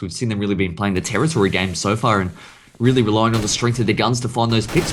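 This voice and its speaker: Croaky guy casting esports, low voice, rattles it off fast. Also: a little hiss or hum. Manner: rapid, analytical play-by-play. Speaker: esports caster. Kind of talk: live esports commentary.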